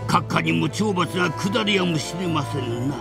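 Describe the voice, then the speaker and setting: A man's voice: on the high side, animated, normal speed, creaky, loud music behind the voice. A man playing a scheming, defiant villainous retainer in a Japanese video game, with sneering, mock-deferential speech.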